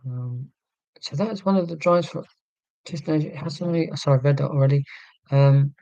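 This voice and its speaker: A middle-aged man with a neutral southern English accent, talking slowly and deliberately in a casual, reflective monologue. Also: on the high side, creaky, mellow, no echo, dull audio. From Scotland, raised in England. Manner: slow, deliberate speech with pauses. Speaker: vlogger.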